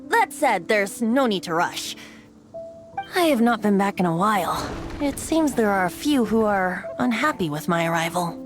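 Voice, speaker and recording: A woman playing a calm, formal and mysterious adult woman in a video game. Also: medium pitch, normal speed, music in the background, natural voice. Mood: composed. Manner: composed and formal.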